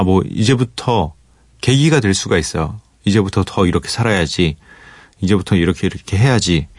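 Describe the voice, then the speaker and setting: Man, radio host, low and unhurried, dry studio sound, thoughtful late-night talk. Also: no echo. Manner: relaxed, thoughtful, with pauses and fillers. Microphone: studio microphone.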